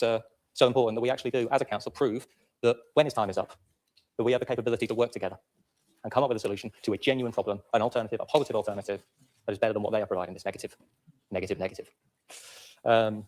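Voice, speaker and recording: A man's voice: mid-range voice, very fast, long pauses, darkish tone. A man speaking as a local councillor in a chamber debate, earnest and repeating words for emphasis.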